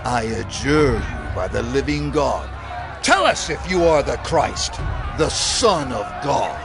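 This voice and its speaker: An actor playing an outraged, imperious high priest in a scripture audio drama, declaiming accusingly. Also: male voice, big pitch swings, slow, vocal fry, full character voice. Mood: commanding. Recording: dramatic music and crowd behind.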